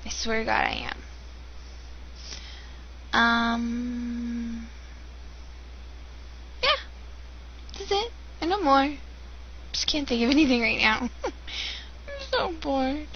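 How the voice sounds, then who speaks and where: A woman's voice: high voice, very animated, drawn-out words, long pauses. A young American woman rambling on a webcam, bored and giggly, with many ums. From United States. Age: young adult. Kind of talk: casual webcam vlog. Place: dim room indoors. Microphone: webcam built-in mic. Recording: dull lo-fi webcam audio with faint hiss.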